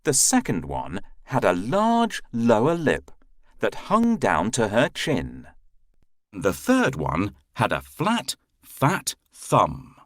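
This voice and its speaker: A male storyteller reading a fairy tale to children with theatrical expression. His lowish voice swings widely in melody and moves very slowly, in a dry recording. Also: huge pitch swings, a bit bright, dry recording.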